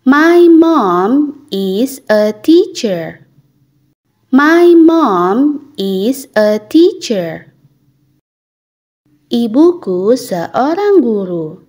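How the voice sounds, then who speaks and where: Brief: young woman teaching kids, deliberate drill delivery, bright high voice, very slow and sing-song. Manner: clear, deliberate, lively intonation. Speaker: English teacher for children. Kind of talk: slow repeated phrase drills with translations.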